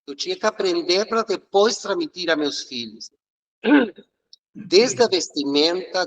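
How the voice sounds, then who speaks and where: A man's voice: a little high, fairly bright tone, rough recording. A Uruguayan man, a native Spanish speaker with a Uruguayan accent, telling his religious life story in an earnest, reflective way as an interview guest. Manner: earnest, reflective, occasional halting restarts. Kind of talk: emotional personal storytelling.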